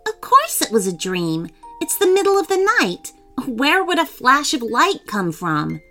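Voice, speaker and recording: Woman voicing an excitable, high-pitched little storybook boy. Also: measured pace, faint music behind, exaggerated voice. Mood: sceptical. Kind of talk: children's storybook character voice.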